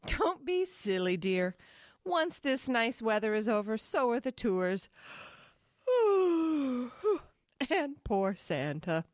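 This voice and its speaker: An actress playing an affectionate, practical wife in an audio drama, sweet and matter-of-fact. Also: female voice, fairly high pitch, sing-song, very slow, pauses often, no room sound, clean studio sound, heavily acted. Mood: fond, concerned. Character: affectionate, practical wife who runs tours.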